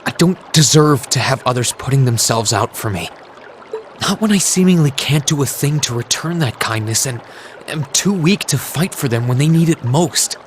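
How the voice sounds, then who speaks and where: A man's voice: mid-range voice, animated, normal speed, slight background noise, close to an everyday voice. Man acting earnest young brother, water trickling behind. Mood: self-loathing, dejected. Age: young adult. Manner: sincere, reflective. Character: earnest, self-doubting teenage brother, a student. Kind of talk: video game cutscene voice acting. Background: trickling water ambience.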